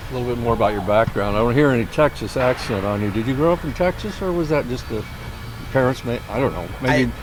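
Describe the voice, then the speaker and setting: A man's voice: mid-range voice, fast, noisy background. A man asking an athlete interview questions in a friendly, conversational way. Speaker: reporter.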